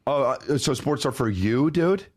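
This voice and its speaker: A nasal, stuffed-up man co-hosting a podcast, defensive and swearing in animated banter. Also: medium pitch, a little slow, breathy edge. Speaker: podcast co-host. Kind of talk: casual comedic banter.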